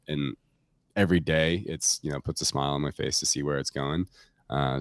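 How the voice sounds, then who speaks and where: A man's voice: very deep voice, dry recording, clean studio sound. A man talking casually as a company guest in an interview, informal and peppering his explanations with hedging filler phrases. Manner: informal, hedging with frequent "like" and "kind of". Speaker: tech company representative, podcast guest. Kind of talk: casual product talk in an interview. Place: remote recording on a live stream call.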